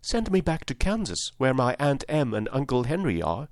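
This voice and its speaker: A man's voice: on the high side, moderate pace, no room sound, clean studio sound, pitched above the performer's own voice, lightly acted. A man voicing an earnest, pleading little girl far from home in a storybook reading.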